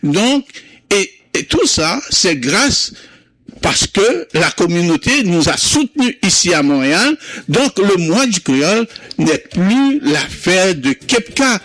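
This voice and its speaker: Man, Creole native, interview guest: unhurried, fairly high voice, halting with fillers and restarts, dry close sound. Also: sing-song, a bit bright, dry recording. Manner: slow, hesitant, many fillers and restarts. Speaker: founder of a community Creole month. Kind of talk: interview recollection.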